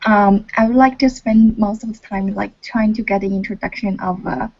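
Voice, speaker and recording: A woman from China, a PhD student, introducing herself in an online meeting, earnest and halting with many fillers. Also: medium pitch, steady tone, noisy background. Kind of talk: casual online meeting chat. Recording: muffled online call audio.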